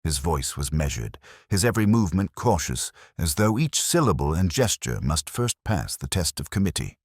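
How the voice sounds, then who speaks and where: A man's voice: low-pitched, sing-song, normal speed, slightly rough, no echo, clean studio sound. A man reading a detective mystery as scripted first-person audiobook narration.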